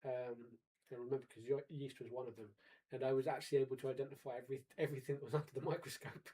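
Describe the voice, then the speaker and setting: A man of forty co-hosting a casual chat, wry and pedantic, quick to argue a point. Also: medium pitch, little pitch movement, dark tone, breathy edge, rough recording. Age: 40s. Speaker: podcast co-host.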